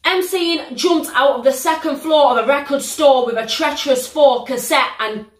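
A young woman with a British accent, hosting a reaction video in a living room, telling stories in an animated, slangy, exclamatory way. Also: high-pitched, normal speed. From United Kingdom. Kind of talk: casual reaction vlog. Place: living room, sitting on a sofa. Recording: roomy living-room echo.

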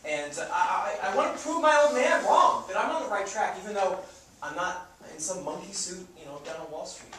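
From far off in a very echoey, noisy theatre comes a man playing a young idealistic slacker. He is pushy and earnest, rambling in loose slang with very animated swings of pitch, as in a stage comedy-drama. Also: a little fast. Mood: passionate, preachy. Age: young adult. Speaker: stage actor. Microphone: distant audience camera.

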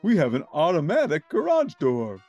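Man doing a loud, pompous bird dad. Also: fairly high pitch, wildly swooping pitch, moderate pace, dull audio, soft background music, pitched above the performer's own voice, heavily acted. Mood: proud, pompous. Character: proud, pompous bird father, dim-witted and bossy.

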